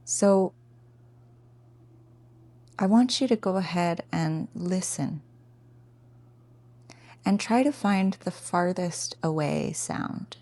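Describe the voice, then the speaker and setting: Low-pitched, slow-paced woman guiding meditation. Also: lively intonation, mellow. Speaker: meditation teacher. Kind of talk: guided meditation instruction.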